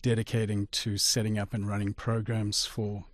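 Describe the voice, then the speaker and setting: A middle-aged man from Zimbabwe, a radio interview guest telling his life story in an articulate, measured way. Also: fairly low pitch, flat delivery, no echo.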